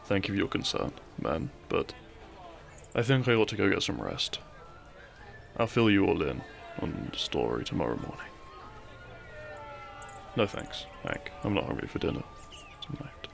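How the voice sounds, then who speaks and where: A man playing a runaway royal turned outlaw, a weary, tender and gently pleading lover in a romantic audio roleplay, with soft, sighing delivery. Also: low voice, very animated, moderate pace, creaky, long pauses, soft background music, exaggerated voice. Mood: injured, resigned.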